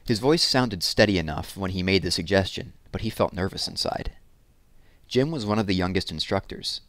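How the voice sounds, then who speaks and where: Male storyteller for children's read-aloud; lower-pitched voice, dry close sound. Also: moderate pace, fairly bright tone, no room sound.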